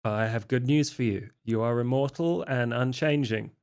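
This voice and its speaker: A man playing a hesitant but eager young vampire gang member in a tabletop game, talking in a halting, awkward way. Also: mid-range voice, a little mellow, smooth, lightly acted. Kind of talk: improvised tabletop roleplay.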